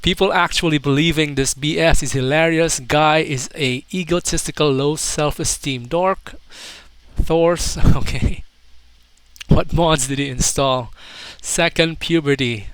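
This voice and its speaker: A man's voice: fairly high pitch, measured pace, a bit bright, clear, resonant tone, dry recording. A young adult man casually reading out internet comments as a commentator, with mocking, incredulous, slangy asides. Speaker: video commentator.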